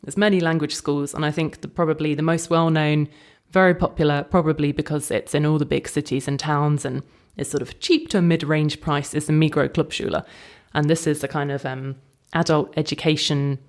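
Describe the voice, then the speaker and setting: Deep-voiced woman explaining. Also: steady tone, brisk, few pauses. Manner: clear, informative, explains practical details. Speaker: podcast co-host. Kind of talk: casual podcast chat.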